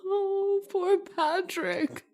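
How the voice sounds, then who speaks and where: An actress plays a terrified woman in a radio horror drama. Her high voice moves slowly, clinging to repeated words as she pleads. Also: sing-song, mellow, heavily acted. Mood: horrified. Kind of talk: old-time radio horror acting.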